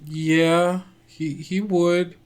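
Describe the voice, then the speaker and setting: A man's voice: high voice, little pitch movement, extremely slow, a little mellow, voice pushed up. A man voicing a shy, obedient little girl in a tabletop game, speaking hesitantly. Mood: hesitant, uneasy.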